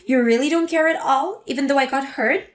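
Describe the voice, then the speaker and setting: A woman's voice: high voice, a little fast, faint music behind, close to an everyday voice. A woman voicing a pregnant young wife who sounds hurt.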